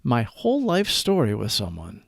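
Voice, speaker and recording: A man reading a scripted daily reflection aloud as host, in a measured, earnest way with deliberate phrasing. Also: medium pitch, wildly swooping pitch, vocal fry, no room sound, clean studio sound.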